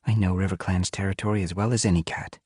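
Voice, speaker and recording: A man's voice: low-pitched, steady tone, fast talker, a little mellow, breathy edge, no echo, clean studio sound, voice pushed up. A man voicing a young female cat apprentice, cautious and determined, speaking earnestly in an audiobook.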